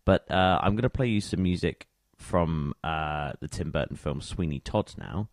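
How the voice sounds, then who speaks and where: Very deep gravelly male show host. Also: normal speed, no room sound, clean studio sound. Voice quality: gravelly, creaky. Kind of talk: casual music show presenting.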